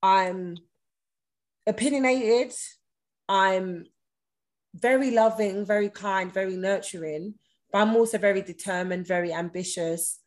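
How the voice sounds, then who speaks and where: A woman's voice: mid-range voice, unhurried, pauses often. A self-assured woman in her thirties speaks directly and with emphasis as a podcast guest. Her voice sits in a middle register, and she talks in an open, conversational way. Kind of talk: casual conversation.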